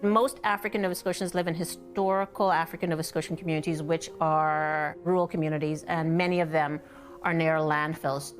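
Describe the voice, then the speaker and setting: Woman, low pitch, measured documentary interview, articulate, clear background music. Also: fairly even intonation. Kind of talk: documentary interview commentary on environmental racism. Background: instrumental music.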